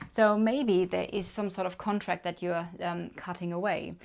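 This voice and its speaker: A woman's voice: on the low side, steady tone, normal speed, vocal fry, a little mellow. A woman with a German accent, reading tarot cards to camera in a chatty way, thinking aloud with many fillers. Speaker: tarot reader. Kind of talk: casual card reading to camera.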